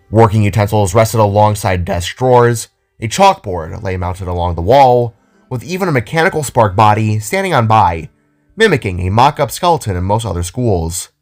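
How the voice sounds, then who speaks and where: A man's voice: on the low side, a little mellow. A man reading scripted story narration as the narrator of an audio drama.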